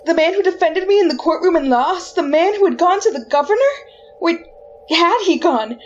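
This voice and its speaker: High-voiced anguished condemned woman, played by an actress. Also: expressive, highs cut off, a little hiss or hum. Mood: shocked, bitter. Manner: dramatic, halting, pleading delivery. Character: wrongly condemned woman's anguished, desperate wandering soul. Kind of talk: old-time radio horror drama acting.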